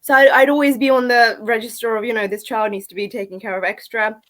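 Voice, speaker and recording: A woman's voice: on the high side, steady tone, quick pace, fairly bright tone. London woman telling her story candidly. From UK, born in India to an Afghan family, moved at three. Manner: conversational, frequent "like" and "you know". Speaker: podcast guest, author and speaker. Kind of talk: candid personal storytelling in an interview.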